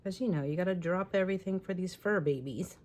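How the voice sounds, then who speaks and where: A woman with a lingering raspy voice talking casually through a scrapbooking tutorial at home, with chatty, apologetic asides while she works. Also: on the low side, fast talker, darkish tone. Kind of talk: casual crafting tutorial talk. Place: home craft room. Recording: faint room noise from fan. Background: fan running.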